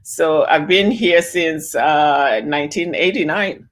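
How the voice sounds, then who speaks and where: A woman's voice: deep voice, slow pace. A woman in her sixties, born and raised in Zimbabwe, answering interview questions over a video call with many fillers and restarts. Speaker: interview guest.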